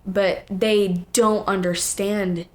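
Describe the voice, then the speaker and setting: A teenage young woman, a guest singer, telling stories in casual, animated chat full of quoted asides. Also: mid-range voice, slow pace.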